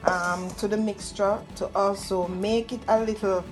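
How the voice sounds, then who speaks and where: A middle-aged woman with a Jamaican accent gives a casual cooking demonstration, explaining each step and pausing to find words. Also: mid-range voice, fairly even intonation. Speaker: home cook demonstrating a recipe. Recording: music playing behind the voice. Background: music.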